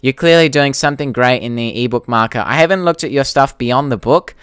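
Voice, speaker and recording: A man's voice: medium pitch, a little fast, fairly bright tone, smooth, no room sound, clean studio sound. A young Australian man hosting a casual live Q&A, chatting to viewers and reading their comments in a blunt, informal, sweary way. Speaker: YouTube creator hosting a live Q&A.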